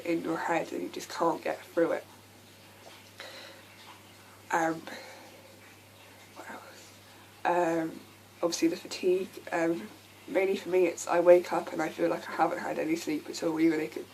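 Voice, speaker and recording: A young woman with a croaky voice from a lasting sore throat, talking candidly to camera with many ums. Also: fairly low pitch, darkish tone, a little hiss or hum, poor audio. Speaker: vlogger. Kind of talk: casual talk to camera.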